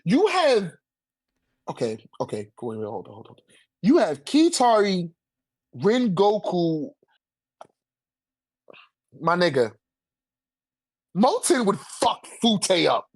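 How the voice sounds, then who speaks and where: A man's voice: high-pitched, wildly swooping pitch, slow, pauses often, a little mellow, no room sound. A man debating casually in profane slang, repeating his phrases for emphasis.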